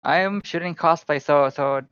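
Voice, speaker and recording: A man's voice: a little high, normal speed, smooth, dry recording. Laid-back man from Indonesia, dull audio. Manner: relaxed, jokey, frequent fillers. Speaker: guest photographer. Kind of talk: casual podcast interview.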